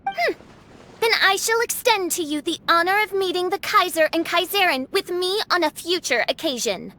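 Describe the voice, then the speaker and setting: A woman's voice: very high voice, expressive, moderate pace, sharp tone, full character voice. A woman voicing a haughty, theatrical teenage girl who plays the grandiose princess in a video game. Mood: grand, imperious. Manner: pompous, archaic, theatrical.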